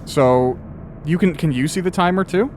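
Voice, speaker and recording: A man's voice: a little high, moderate pace. A man hosting a casual interview while playing a video game. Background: video game audio, faint music.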